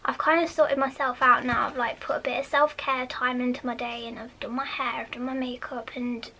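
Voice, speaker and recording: A woman with an English accent and a soft, high-pitched, childlike voice, chatting casually in a vlog and often dropping to a whisper. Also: little pitch movement, fairly quick. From United Kingdom. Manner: chatty, rambling with fillers. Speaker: vlogger.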